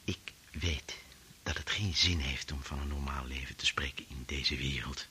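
An actor playing an earnest, gentle, idealistic professor in a Dutch radio play. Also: male voice, rumbling low, moderate pace, heavily acted. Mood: hushed, earnest. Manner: soothing, earnest, often hushed. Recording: hissy old radio drama recording.

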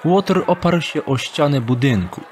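A man's voice: on the high side, animated, a little slow, darkish tone. Man narrating an audio drama over street noise.

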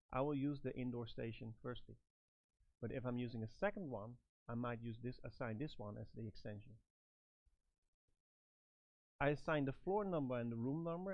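A middle-aged man giving step-by-step product instructions in a halting, phrase-by-phrase manner. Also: medium pitch, dry recording, dull audio. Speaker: product trainer and presenter.

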